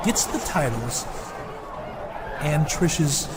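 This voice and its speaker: A man playing a commanding, showy wrestling boss in a video game cutscene, making emphatic, drawn-out announcements. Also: a little high, big pitch swings, exaggerated voice. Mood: authoritative, showy. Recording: loud crowd and noise.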